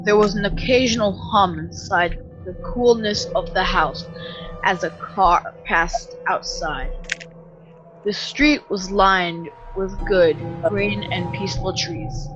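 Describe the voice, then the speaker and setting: A woman's voice: medium pitch, takes it slowly. A woman reading scripted narration for an audio drama, muffled, with music behind. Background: background music.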